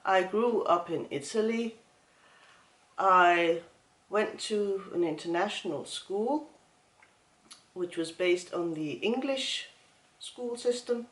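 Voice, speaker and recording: A woman talking slowly through a casual stitching vlog, rambling and pausing often with ums and uhs. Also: medium pitch, a bit bright, roomy sound. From Switzerland. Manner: slow and rambling, with many ums. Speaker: flosstube vlogger.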